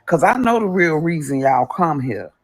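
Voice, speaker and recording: Gravelly, husky man taunting bluntly. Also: fairly high pitch, very animated, normal speed. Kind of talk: casual opinion rant.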